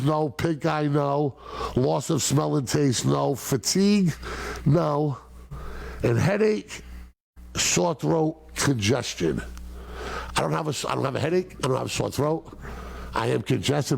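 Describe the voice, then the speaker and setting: An older man hosting a casual talk show, rambling and joking with his guests. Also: medium pitch. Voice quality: gravelly, husky. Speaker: host.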